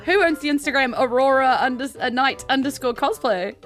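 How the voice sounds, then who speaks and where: A woman's voice: high voice, animated, normal speed. A woman hosting a podcast as game master, chatting casually and jokingly. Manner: playful, jokey. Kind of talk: casual sign-off chat. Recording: soft music in the background.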